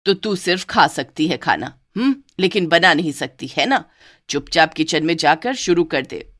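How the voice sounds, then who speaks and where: Lady doing a bossy old mother-in-law, lowish voice, sweet to one bahu and harsh to the other. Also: expressive, fairly bright tone. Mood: scolding. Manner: lower, slower, scolding toward one and sweet toward the other. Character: doting older mother-in-law, bossy to her maid-like daughter-in-law. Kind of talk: cartoon storybook acting.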